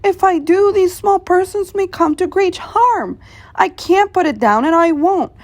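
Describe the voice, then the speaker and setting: A woman's voice: extremely high pitch, lively intonation, measured pace, no room sound, voice pushed up. A woman voicing a kind, worried, loyal male elephant in a storybook, speaking earnestly. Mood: resolute. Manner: earnest, pleading.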